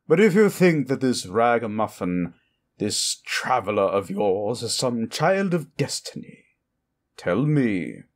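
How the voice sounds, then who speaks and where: Slow, swooping, smug old sorcerer. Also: male voice, mid-range voice, full character voice. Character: smug old powerful male sorcerer, silkily taunting. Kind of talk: fantasy audio roleplay, improvised.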